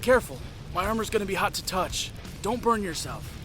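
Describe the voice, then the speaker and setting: A man's voice: sits high, lively intonation, a little fast, a bit bright, faint music behind. A man playing a wry, sarcastic young knight in a fantasy audio drama, exclamatory and strained. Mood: protective.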